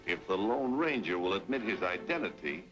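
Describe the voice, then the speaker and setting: An actor playing a scheming, commanding western villain boss in an old-time radio serial style. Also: male voice, low-pitched, expressive, normal speed. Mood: cold threat. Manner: commanding, menacing, emphatic. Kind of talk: 1930s-40s western serial acting. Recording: vintage radio recording with music.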